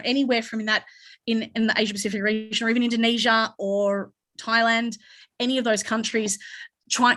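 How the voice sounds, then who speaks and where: A woman's voice: a little high, a bit bright. Rambling Australian woman panelist. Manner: rambling, many restarts and fillers. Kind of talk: conference panel discussion.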